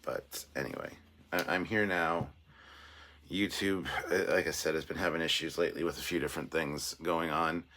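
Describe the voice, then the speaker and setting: Deep hoarse guy with a sore throat, rambly chatty livestream host, bit of an edge on the voice. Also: fairly even intonation, moderate pace, bright, forceful tone, a little hiss or hum. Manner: rambling and chatty, with restarts and self-deprecating asides. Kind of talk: casual livestream chat, reading out viewer comments.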